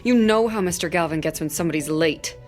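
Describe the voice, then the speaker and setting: A woman playing an efficient, worried theater stage manager in an audio drama, speaking briskly. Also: on the low side, a little mellow, soft background music, natural voice.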